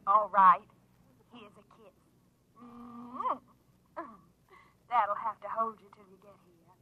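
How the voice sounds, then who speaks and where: Purring femme fatale on the phone. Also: female voice, a little high, slow. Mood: flirtatious. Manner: coy, purring, breathy endearments. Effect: telephone filter. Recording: tinny 1950s radio drama phone voice.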